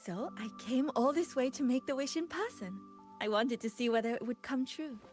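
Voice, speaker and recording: A woman plays an earnest young pilgrim in a video game, pleading in a high voice. Music plays underneath her lines. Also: normal speed, a little mellow, natural voice. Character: earnest young great-granddaughter pleading with a revered shrine maiden.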